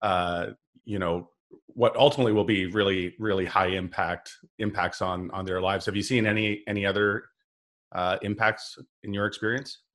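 A man hosting an interview podcast, opening with a scripted intro, then asking questions haltingly and repeating words. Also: low-pitched, fairly even intonation, fairly bright tone. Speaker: podcast host.

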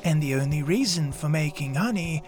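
A man voicing a simple, earnest, honey-loving bear in a children's storybook reading, musing slowly with pondering, repeated phrases. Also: on the high side, faint music behind, lightly acted. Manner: slow, pondering, repetitive phrasing.

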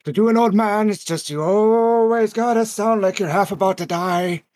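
A man's voice: high voice, moderate pace, darkish tone, no room sound, clean studio sound, voice pushed up, close to an everyday voice. A man putting on the voice of a frail old man who sounds half about to die. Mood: creaky.

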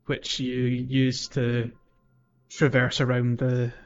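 A man's voice: mid-range voice, completely flat delivery, slow, muffled recording, faint music behind. A man hosting a casual video game podcast, explaining things in a hesitant, rambling way. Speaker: podcast host.

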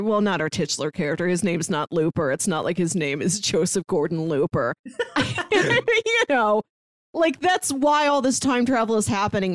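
A woman's voice: lowish voice, no echo, studio quality. A woman co-hosting a podcast, talking in a chatty, enthusiastic way in a casual review conversation. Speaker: podcast co-host.

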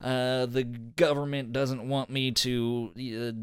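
A man co-hosting a casual podcast chat, speaking haltingly and repeating words as his thoughts form. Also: medium pitch, level tone, clear, resonant tone, no echo, studio quality. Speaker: podcast co-host reviewing an audio drama.

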